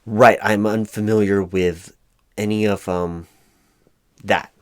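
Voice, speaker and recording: A man playing a flustered, hapless corporate recruiting manager in a one-sided spoken roleplay, hesitant and stammering, with an awkwardly polite, monotonous delivery. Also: on the low side, takes it slowly, clean tone. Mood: flustered, clueless. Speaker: job interviewer.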